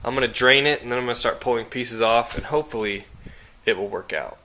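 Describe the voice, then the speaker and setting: A man's voice: mid-range voice, fast talker. A man casually explaining step by step how he takes apart a car's cooling system, recorded outdoors on a handheld camera while he works. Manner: relaxed, explains step by step, asks viewers questions. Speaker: car restoration vlogger. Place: outdoor driveway by a car engine.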